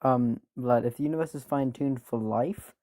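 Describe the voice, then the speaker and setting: A man's voice: mid-range voice, normal speed, mellow, no room sound, studio quality. A man co-hosting a debate stream, chiming in to agree and add points.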